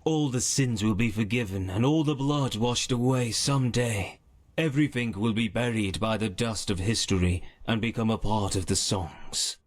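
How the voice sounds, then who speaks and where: A man voicing a gentle storyteller in a video game, soothing and lyrical. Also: fairly low pitch, moderate pace, close to an everyday voice. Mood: gentle, consoling. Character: gentle male storyteller telling a consoling old tale.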